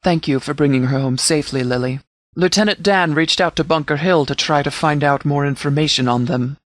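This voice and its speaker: Male actor as stern militia sheriff, video game dialogue, measured delivery, fairly high male pitch, dry close sound. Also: no room sound, lightly acted. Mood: measured, formal. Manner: measured, formal, authoritative. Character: stern, formal sheriff commanding a post-apocalyptic settlement militia. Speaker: sheriff giving briefings.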